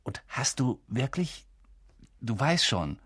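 A man's voice: mid-range voice, big pitch swings, slow pace, a bit bright, slightly rough, no echo, clean studio sound, full character voice. A man voicing a curious, shy eleven-year-old boy who talks in eager bursts. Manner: eager, blurting.

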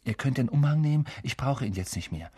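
A man reads as a determined young boy wizard in a storybook. The voice is hushed and slightly husky, with a serious, earnest manner. It is recorded close and dry. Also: medium pitch, expressive, fairly quick, no echo, pitched above the performer's own voice. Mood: quiet, resolute. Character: earnest boy wizard, quietly determined among his friends.

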